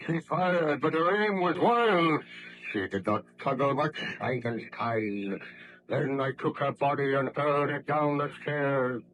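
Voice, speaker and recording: An actor playing a murderous, remorseless monster in an old-time radio horror play, speaking in slow, declamatory, archaic phrases. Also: male voice, mid-range voice, fairly bright tone, natural voice. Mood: gloating. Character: vengeful murderous male monster, grandly menacing and remorseless. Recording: noisy vintage radio drama recording.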